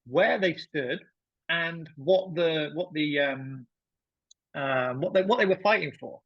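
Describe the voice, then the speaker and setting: Male podcast guest, slightly high husky voice, emphatic casual sports chat, phrases often repeated. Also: slow pace, dry recording, muffled recording.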